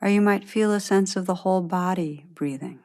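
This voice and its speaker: A woman guiding a meditation in a gentle, unhurried, soothing manner, giving calm instructions with long pauses. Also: medium pitch. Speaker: meditation teacher guiding a practice. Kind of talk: guided meditation, scripted instruction.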